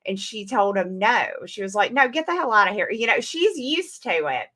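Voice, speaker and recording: A woman's voice: medium pitch, very animated, moderate pace, a bit bright. A young woman with a Southern American accent, chatting casually as a guest and laughing often. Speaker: comic creator guest. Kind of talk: casual conversation.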